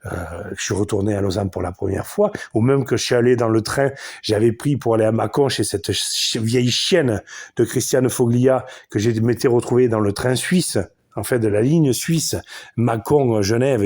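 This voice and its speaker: A man's voice: medium pitch, darkish tone, slightly rough. A man in his forties with a Southern French accent, delivering a crude, boastful podcast monologue full of swearing. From southern France. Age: 40s. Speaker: podcast host.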